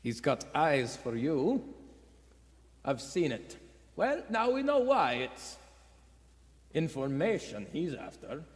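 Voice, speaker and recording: An actor playing a nervous, shifty middle-aged husband, hesitant and stammering, in a radio play. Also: male voice, on the high side, huge pitch swings, slow pace, long pauses, exaggerated voice. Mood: suspicious, evasive. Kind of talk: stage radio play acting. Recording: echoey theatre hall.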